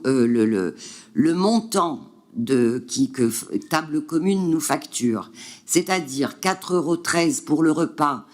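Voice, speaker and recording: Male councillor, slow, halting delivery, slightly high voice. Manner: hesitant, many fillers and restarts, reading figures. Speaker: city councillor answering questions. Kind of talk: council meeting presentation.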